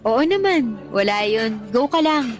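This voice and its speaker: A woman's voice: on the high side, expressive, unhurried. A woman acting as a candid, talkative teacher and single mother on a date in a Tagalog radio drama. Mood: light, reassuring. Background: background music.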